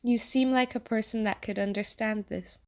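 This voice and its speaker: A woman playing an earnest artificial intelligence in an audio theatre monologue, speaking conversationally and wryly with halting, emphatic pauses to the listener. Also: medium pitch, fairly even intonation, a little mellow, natural voice. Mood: saddened, earnest. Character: earnest artificial intelligence, fond of yet disappointed in humanity.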